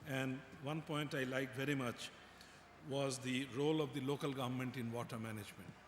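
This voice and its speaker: A man's voice: mid-range voice, steady tone, fairly quick. A man making formal remarks as the chair of a conference session. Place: large conference hall. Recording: echoey hall, clear background noise.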